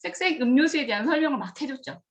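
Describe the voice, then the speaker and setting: Lively-voiced woman telling her career story. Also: quick pace. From rural Gyeongsang region of Korea. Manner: earnest, acts out remembered dialogue with lively pitch jumps. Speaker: kindergarten director giving a career talk. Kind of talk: storytelling career talk to students.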